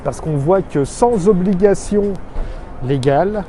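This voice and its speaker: Man from Alsace, middle-aged, slightly high voice, earnest measured vlog talk, city street outdoors, faint traffic noise. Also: warm tone. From Alsace, France. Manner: earnest, measured, citing figures. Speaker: expatriate vlogger. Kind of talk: informative street-side monologue. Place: outdoors on a city street below his office.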